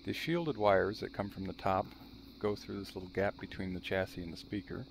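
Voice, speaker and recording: A man's voice: sits low, moderate pace, vocal fry, slight background noise, rough recording. A man narrating a how-to demonstration in a dry, matter-of-fact, wry way. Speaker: video host showing a restored vintage radio.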